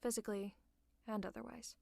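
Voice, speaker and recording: Soft-spoken woman in close-mic roleplay. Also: fairly high pitch, level tone, fairly quick, vocal fry, dry recording. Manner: soft, caring, teasing, often near a whisper. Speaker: ASMR roleplay performer. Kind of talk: ASMR roleplay, speaking to the listener.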